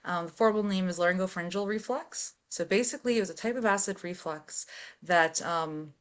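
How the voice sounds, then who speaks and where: Lower-voiced woman telling her story. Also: a little fast, clean tone. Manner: relaxed, open storytelling with fillers. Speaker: metal vocalist, guest. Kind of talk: casual interview chat.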